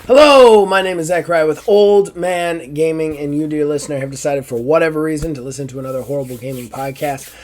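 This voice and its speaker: A man hosting a casual podcast, hoarse and raspy after an illness, joking and swearing freely. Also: on the high side, brisk, soft background music. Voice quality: raspy, strained throat. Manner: joking, profane, self-mocking. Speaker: podcast host.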